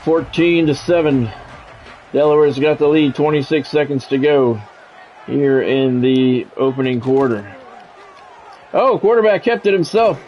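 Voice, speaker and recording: A man's voice: mid-range voice, measured pace, dull audio, a little hiss or hum. A man calls football play-by-play in short, clipped phrases. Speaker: play-by-play announcer. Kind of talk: American football game commentary.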